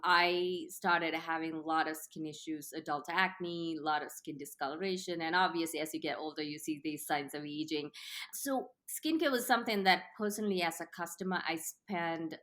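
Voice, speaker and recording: A woman in her thirties from Sri Lanka, answering interview questions in a measured, thoughtful way with frequent "uh" pauses. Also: low voice, a bit bright. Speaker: company founder, guest.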